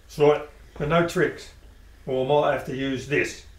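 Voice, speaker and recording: A man's voice: mid-range voice, fairly even intonation, unhurried, close to an everyday voice. A hapless, hesitant would-be bandit, played by a man in a homemade radio play. He falters and sounds unsure of himself. The voice is echoey and picked up from some distance. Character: hapless timid male bank robber. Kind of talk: amateur radio play acting. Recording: echoey room, off mic.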